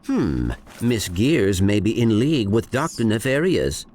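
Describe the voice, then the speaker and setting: A polite little video game robot, with a fairly low voice and lively intonation. He phrases everything formally and precisely, sounding prim and courteous. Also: a little slow, a little hiss or hum. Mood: prim, curious. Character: small, polite, prim male robot sidekick. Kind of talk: video game cutscene acting.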